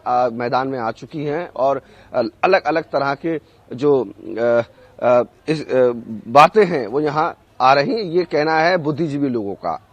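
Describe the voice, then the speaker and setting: A man giving an unscripted live news report as a correspondent, halting often to search for words. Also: a little high, dull audio.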